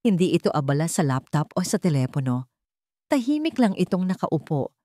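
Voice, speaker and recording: Lower-voiced woman narrating a dramatic story. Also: sing-song, fairly quick, mellow, dry recording, studio quality.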